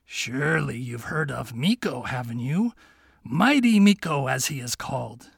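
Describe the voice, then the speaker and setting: A man's voice: sits high, animated, normal speed, no echo, studio quality, voice pushed up. A man voicing a sly talking fox. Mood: sly courtly. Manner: coaxing, confiding. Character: clever scheming male fox, smooth talker.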